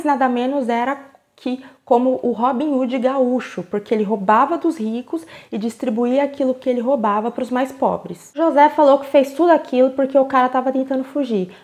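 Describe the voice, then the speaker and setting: Brazilian woman, true crime host, higher voice, casual chatty delivery. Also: a little mellow. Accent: Brazilian Portuguese. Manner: conversational, chatty asides with slang.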